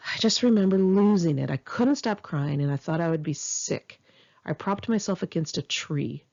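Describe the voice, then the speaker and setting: Expressive lower-pitched woman reading memoir. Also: vocal fry, dull audio. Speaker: author reading own memoir. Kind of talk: first-person memoir narration, audiobook read in one take.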